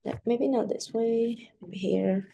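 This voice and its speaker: A woman whose first language is Mandarin Chinese gives a casual screen-share tutorial of a software app, talking things through as she clicks and often saying "like" and "so that is to say". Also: fairly high pitch, steady tone, slow, gravelly, a little hiss or hum. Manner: chatty, with frequent "like" and "so that is to say". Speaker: software demo presenter. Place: at a computer, recording her screen.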